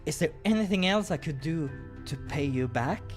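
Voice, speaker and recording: A man's voice: fairly high pitch, animated, moderate pace, pitched above the performer's own voice, close to an everyday voice. A shy young man from a visual novel, acted by a male narrator, polite and hesitant. His voice sits raised and light, with music behind it. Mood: unsure.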